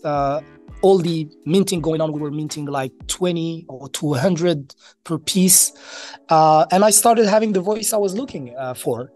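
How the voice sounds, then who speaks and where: Higher-pitched man telling stories. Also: darkish tone. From Marrakesh, Morocco. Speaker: interview guest, crypto entrepreneur. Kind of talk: casual conversational storytelling.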